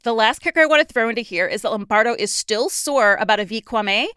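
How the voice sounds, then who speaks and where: An American woman in her thirties co-hosting a podcast, explaining the news in a casual, animated way with informal asides. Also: on the high side, quick pace, clean tone, no echo, clean studio sound. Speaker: podcast co-host.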